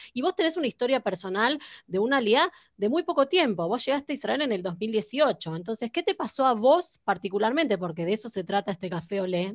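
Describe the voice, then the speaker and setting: A woman with an Argentine accent moderates an online panel talk, steering her guests briskly and chatting easily. Her voice comes through a video call, dry, with no room sound, at a middling pitch. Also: no room sound. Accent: Argentine Spanish. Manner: brisk, conversational, guiding the guests. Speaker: host and moderator.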